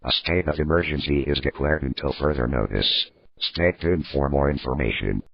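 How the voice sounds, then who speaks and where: A man's voice: extremely low pitch, moderate pace, gravelly, no room sound. A man reading a scripted emergency broadcast as an announcer, formal and impersonal with a synthetic delivery. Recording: dull, slightly lo-fi dry audio.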